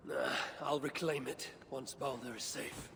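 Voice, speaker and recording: A man's voice: mid-range voice, fairly even intonation, close to an everyday voice. Commanding, proud Norse god acted by a man. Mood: resolute. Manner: imperious, deliberate, formal. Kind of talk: video game cutscene acting. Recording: long reverb, faint background noise.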